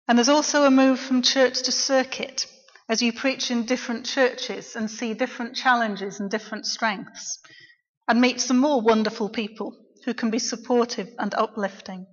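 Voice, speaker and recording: A middle-aged woman, a local preacher, giving a quiet, reflective personal testimony in church. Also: on the high side. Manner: soft-spoken, reflective. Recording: dull, echoey church recording.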